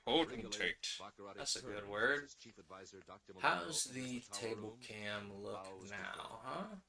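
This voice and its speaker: A man's voice: on the low side, animated, takes it slowly, vocal fry, a crowd in the background. A man streaming casually, chatting and thinking aloud as host while he works at a craft.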